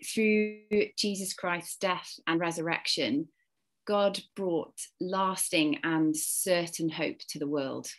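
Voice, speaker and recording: Earnest woman with faint Welsh accent. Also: lowish voice. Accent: slight Welsh. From Wales. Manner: earnest, expressive storytelling. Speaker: church speaker. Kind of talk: Easter talk to a congregation.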